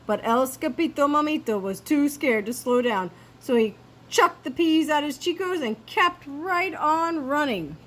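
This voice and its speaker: High-voiced woman reading a storybook aloud. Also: animated, unhurried, a bit bright, slight background noise. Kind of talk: expressive read-aloud storybook narration.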